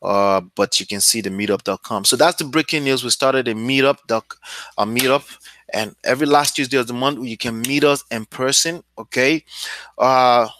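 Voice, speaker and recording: A man's voice: mid-range voice, moderate pace, bright, forceful tone, dry recording. A man from Nigeria with a Nigerian English accent, hosting a casual real estate video and often checking in with "okay?". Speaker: real estate channel host. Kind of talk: casual talk to camera with screen share.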